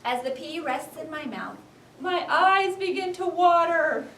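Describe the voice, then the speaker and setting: A woman teaching young children, reading a storybook aloud expressively and giving clear, animated instructions. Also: extremely high pitch, unhurried. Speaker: first-grade teacher. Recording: echoey classroom, distant, background noise.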